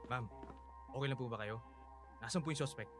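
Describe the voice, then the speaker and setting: An actor playing a brisk, dutiful male police officer in a radio drama. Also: mid-range voice, music in the background, lightly acted. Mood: urgent, official.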